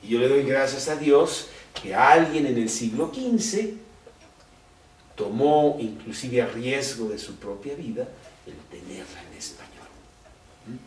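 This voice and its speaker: A man gives a sermon at an unhurried pace, and his pitch rises and falls a lot as he asks his listeners question after question. He sounds set back from the microphone in a roomy, echoing space. A faint hiss runs underneath. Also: animated, far from the mic. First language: Spanish. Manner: slow, conversational, with rhetorical questions. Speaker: preacher. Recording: roomy echo, off-mic, faint background noise.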